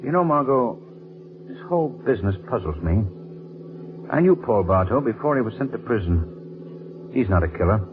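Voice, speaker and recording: A man acting a calm, shrewd American gentleman sleuth in a 1940s radio mystery, measured and reassuring. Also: fairly low pitch, close to an everyday voice. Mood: thoughtful, measured. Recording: muffled, faintly noisy 1940s radio recording.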